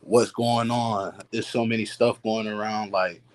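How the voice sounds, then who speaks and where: A young man with a slightly low voice speaks as a student guest. He talks casually and hesitantly, filling pauses with filler words. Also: steady tone. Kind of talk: casual chat.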